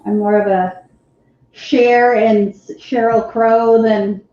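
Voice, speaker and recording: A woman's voice: a little high, flat delivery, slow pace, mellow. Rambling woman painting in an echoey room. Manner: rambling, chatty, frequent laughs. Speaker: co-host, painting on stream. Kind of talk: live-stream chat while painting. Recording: very echoey, dull lo-fi sound.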